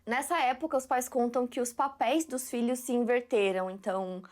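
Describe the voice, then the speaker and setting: A young woman narrating a scripted true story to her viewers in a steady manner. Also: fairly high pitch, brisk. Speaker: video narrator.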